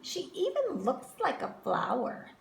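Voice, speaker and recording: Haughty know-it-all schoolgirl for a storybook, voiced by a woman: pointed, teasing, raised pitch. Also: wildly swooping pitch, measured pace, vocal fry, full character voice. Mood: smug taunting. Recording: roomy echo, faint noise.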